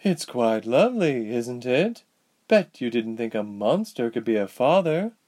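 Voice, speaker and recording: A man putting on a cold and bitter villainous sorceress for a spoken storybook, her voice raised somewhat above his own. She speaks coolly and slowly, with lively swings in pitch. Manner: cool and indifferent, turning bitter.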